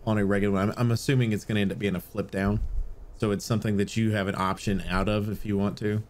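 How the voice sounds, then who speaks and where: Man, slightly low voice, podcast co-host, casual, conversational, unscripted. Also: level tone, fast. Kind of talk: casual panel chat.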